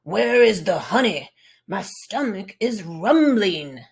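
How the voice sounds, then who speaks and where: A woman's voice: mid-range voice, expressive, slow pace, gravelly. A woman voicing a hungry, grumbling grizzly bear with a growling voice for a storybook.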